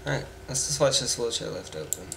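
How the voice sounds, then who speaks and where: Gravelly young man rambling over gameplay. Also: medium pitch, big pitch swings, brisk, fairly bright tone. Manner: rambling, offhand, swears casually. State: congested from a cold. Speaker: gameplay video maker. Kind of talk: casual gameplay commentary. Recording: noisy home mic with keyboard sounds.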